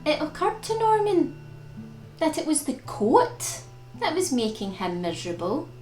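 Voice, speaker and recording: A woman reads a picture book to young children at an unhurried pace, her pitch swooping expressively as she leans on key words. The room adds some echo, and guitar music plays along with her storytelling. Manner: animated, dramatic emphasis on key words. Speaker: children's storytime reader and host.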